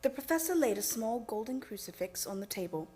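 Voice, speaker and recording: A woman's voice: a little high, lively intonation, a little fast, warm tone, breathy edge. A woman playing an earnest young wife who formally reads out records in a radio play. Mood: solemn, recounting.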